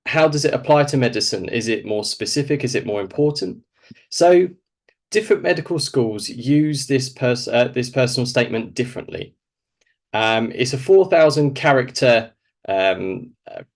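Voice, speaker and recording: A man presents in the role of an adviser to students, sounding snuffly with a cold. His pitch is middling and his delivery is informal and explanatory, often built around questions he then answers. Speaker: presenter, doctor advising medical school applicants.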